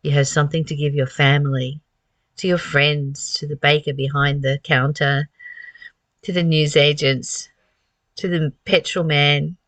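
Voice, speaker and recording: A woman's voice: sits low, steady tone, a little slow, fairly bright tone, dry recording. A woman giving a spiritual talk as a teacher, reading and reflecting with rhetorical questions.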